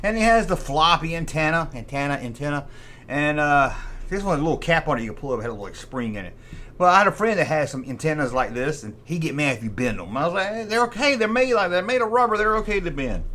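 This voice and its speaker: A man's voice: a little high, sing-song, quick pace, sharp tone. A man hosting a vintage toy show, chatting informally and nostalgically and often repeating himself. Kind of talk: rambling nostalgic commentary on collectible toys.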